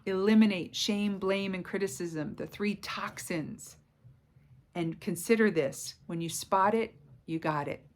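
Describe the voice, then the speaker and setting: A woman in her forties giving a slow, soothing self-help talk to camera at home, affirming and calm. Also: medium pitch. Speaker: wellness coach. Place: home living room by a stove.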